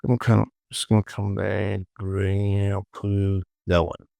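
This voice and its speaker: Slow, creaky-voiced man muttering through a tutorial. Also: on the low side, completely flat delivery, dark tone, dry recording. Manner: muttering to himself, repeating short phrases. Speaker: tutorial host. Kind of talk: casual screen-recorded software tutorial narration.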